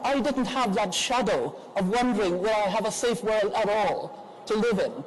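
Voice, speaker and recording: An older woman, a grandmother, moderating a conference panel in an earnest, measured way with frequent pauses. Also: medium pitch, noisy background.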